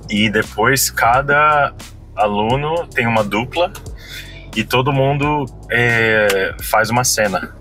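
A man's voice: medium pitch, slow, soft background music. A Brazilian man in his thirties, a podcast guest, chatting casually and with animation inside a car. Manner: relaxed, slangy, animated storytelling. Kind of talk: casual chat. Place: parked car interior. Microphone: fixed dashboard camera.

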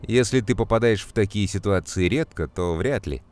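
A man playing a calm, knowledgeable mentor who explains things to children in an educational cartoon, measured and clearly enunciated. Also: lowish voice, natural voice. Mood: earnest, explanatory. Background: music.

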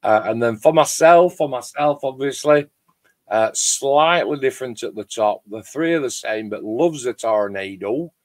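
A middle-aged man with a loud voice, chatting casually and in a rambling way as host of a hobby livestream. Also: medium pitch, expressive, normal speed, no echo. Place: home garage workshop shed.

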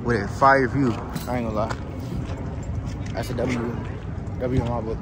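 A young man hosting his own vlog outdoors on a city pier, talking in excitable, slangy casual chat and laughing often. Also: mid-range voice, unhurried. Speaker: vlogger host. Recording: noisy outdoor city street.

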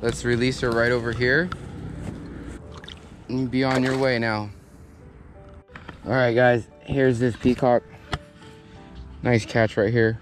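A man's voice: medium pitch, little pitch movement, takes it slowly, long pauses. A young man outdoors giving upbeat, casual commentary on his fishing trip and calling out to his viewers, recorded on a body-worn action camera. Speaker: fishing vlogger, host. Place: outdoors on a grassy canal bank. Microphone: wide-angle action camera on his body. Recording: music playing under the voice. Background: music.

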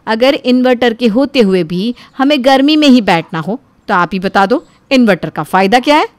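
A woman voicing a defiant, quarrelsome elder daughter-in-law in an animated family story, arguing indignantly. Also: fairly high pitch, normal speed, no room sound, natural voice. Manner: argumentative, indignant.

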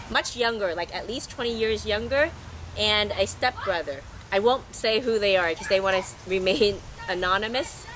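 Woman, middle-aged, hoarse, rambling, chatty, loud talker, faint background noise. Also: mid-range voice, rough recording. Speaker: vlogger. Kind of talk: casual voice-over chat.

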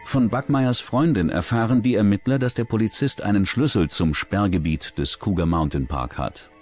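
A man narrating a true-crime documentary in a measured, serious scripted delivery. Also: fairly low pitch, faint music behind.

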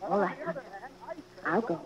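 A woman's voice: medium pitch, a little slow, close to an everyday voice. An actress playing a desperate, scheming young woman in love, melodramatic and pointed, in old-time radio drama. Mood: threatening. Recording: dull, noisy old radio recording.

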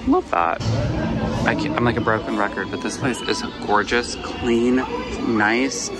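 A man's voice: mid-range voice, steady tone, measured pace, loud music behind the voice. A man in his thirties vlogging a family trip outdoors, talking in a gushing, playful, chatty way into a handheld camera. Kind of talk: casual travel vlog. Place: outdoors at a sunny cruise port.

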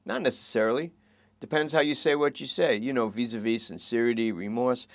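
A man's voice: mid-range voice, fairly quick, vocal fry, no echo, lightly acted. A man voicing a tired, dryly coaxing police detective during a late-night interrogation. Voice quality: dry, coaxing. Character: weary, wry middle-aged male police detective.

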